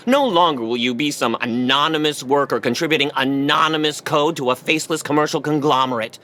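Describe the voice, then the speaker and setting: An actor in an audio play voicing a grandiose Chinese government bureaucrat who pitches like a salesman. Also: fairly quick, a bit bright, a little hiss or hum, close to an everyday voice. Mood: persuasive, grandiose. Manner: salesman-like, theatrical, list-heavy pitch.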